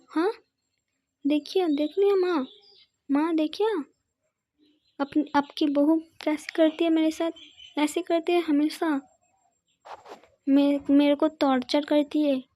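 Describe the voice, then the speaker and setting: A woman's voice: high-pitched, warm tone. Woman playing a whiny pleading young girl. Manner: pleading, whining.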